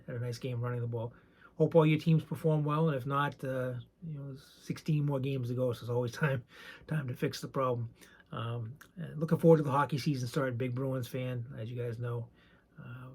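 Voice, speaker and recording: A soft-spoken man with a raspy voice, chatting casually and rambling a bit while showing his collection. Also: mid-range voice. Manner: soft-spoken, not loud; rambling, hesitant with many ums. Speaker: card collecting channel host. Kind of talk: casual show-and-tell chat.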